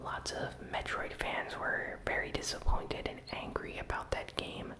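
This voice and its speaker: An ASMR host whispering an informal gaming news recap in a breathy, soft, unhurried way.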